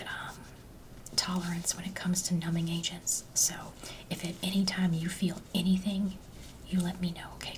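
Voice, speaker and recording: Slow whispering woman in an ASMR doctor roleplay. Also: fairly low pitch, far from the mic, low-quality recording. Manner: gentle, reassuring, unhurried, speaking directly to a patient.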